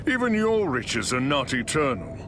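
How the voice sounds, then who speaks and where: An actor playing a proud, noble god in a video game, declaiming in grand, archaic speech. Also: male voice, medium pitch, sing-song, moderate pace, background noise, close to an everyday voice. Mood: regal, grave. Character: noble, proud, mighty male thunder god. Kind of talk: video game character voice lines. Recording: long reverb, game music behind.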